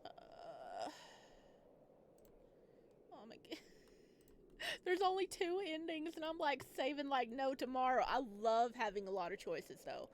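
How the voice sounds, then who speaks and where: A woman's voice: sits high, big pitch swings, slow pace, pauses often, a little hiss or hum. A woman giving casual, exclamatory playthrough commentary on a game, thinking aloud as she goes. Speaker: game streamer playing a visual novel.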